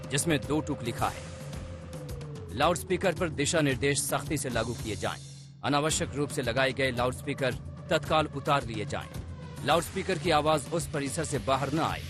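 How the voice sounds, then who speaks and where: A man narrates a scripted television news report with dramatic emphasis. His pitch is average, his delivery punchy, and loud music runs behind him.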